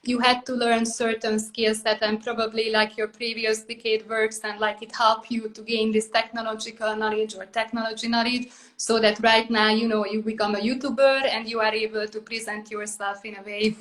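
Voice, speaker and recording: A woman's voice: on the high side, level tone, normal speed, fairly bright tone. A woman in her thirties from Hungary with a Hungarian accent, hosting a casual interview and filling her talk with "like" and "basically". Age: 30s. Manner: many "like" and "basically" fillers, loose phrasing. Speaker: podcast host.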